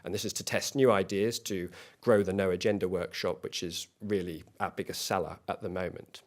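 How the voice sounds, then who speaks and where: A man with a fairly low voice delivers a business pitch to a panel. He speaks earnestly and often stumbles into restarts and repeated words as he explains his company's work. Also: fairly even intonation, a little fast. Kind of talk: competition pitch. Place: event hall.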